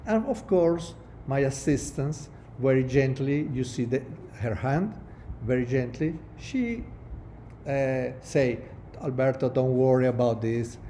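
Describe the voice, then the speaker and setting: Middle-aged Spanish-speaking prosthodontist lecturing online; mellow, warm tone, stop-start delivery. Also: male voice, mid-range voice, slight background noise. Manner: halting non-native English with many restarts. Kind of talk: webinar lecture over slides.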